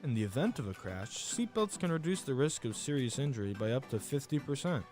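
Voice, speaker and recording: A man's voice: mid-range voice, sing-song, a little fast, music in the background. A man reading a scripted safety announcement in the style of a public service spot.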